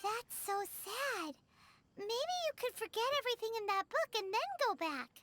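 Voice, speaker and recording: A woman voicing a small, chirpy companion sprite in a video game, talking quickly. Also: extremely high pitch, sing-song, fairly bright tone, heavily acted.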